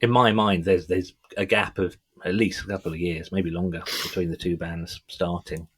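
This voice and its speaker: A man's voice: low-pitched, little pitch movement, normal speed, a bit bright, slight background noise. A middle-aged man co-hosting a music podcast, chatting casually with an enthusiastic, sweary fan's manner. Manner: enthusiastic, sweary fan talk.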